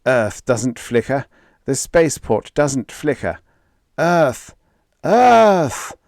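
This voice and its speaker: A man voicing a desperate starship crew leader who shouts and moans under strain in a science fiction story reading. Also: high-pitched, sing-song, takes it slowly, no room sound, studio quality, pitched above the performer's own voice, full character voice. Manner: urgent, shouting and moaning.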